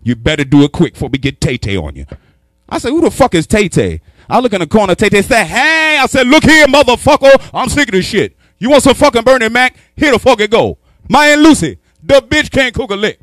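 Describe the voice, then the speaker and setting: Casting: man in his thirties, stand-up comic from New York, loud and animated, handheld mic in a dry studio. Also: extremely high pitch, a little fast, no room sound. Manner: loud, animated, shouts punchlines. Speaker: stand-up comedian. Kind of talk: stand-up routine. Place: small studio with sponsor backdrop. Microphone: handheld microphone.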